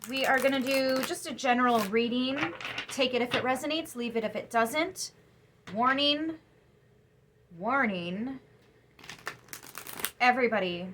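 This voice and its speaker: A young woman giving a casual tarot reading to camera, chatty and emphatic, sniffly after crying, her voice turning soft when she muses. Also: fairly high pitch, a little hiss or hum. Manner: chatty, emphatic, drops to soft musing asides. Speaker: tarot reader.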